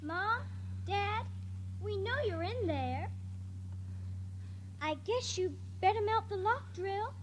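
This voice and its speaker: A woman's voice: extremely high pitch, expressive, takes it slowly, long pauses, a little hiss or hum, full character voice. A woman playing a secretive, defiant little girl in a 1950s radio drama, childlike and matter-of-fact. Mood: sweetly menacing.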